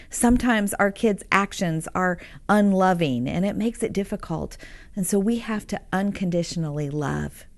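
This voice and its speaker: A woman author calmly teaching parents in a scripted, earnest and encouraging narration. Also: on the low side. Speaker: author and curriculum host.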